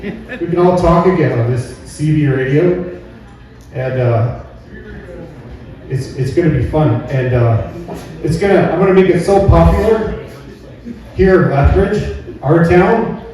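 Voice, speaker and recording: A man's voice: on the high side, unhurried, pauses often, mellow. Echoey, rambling Canadian stand-up guy. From Alberta, Canada. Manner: rambling, folksy, checks in with "right?". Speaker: stand-up comedian. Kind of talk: stand-up comedy routine. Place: live comedy venue indoors. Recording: very echoey room, far from mic.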